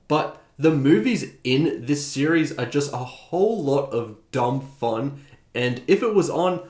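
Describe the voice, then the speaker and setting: A man hosting a video essay, giving opinionated, conversational commentary. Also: mid-range voice. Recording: roomy, echoing voice recording.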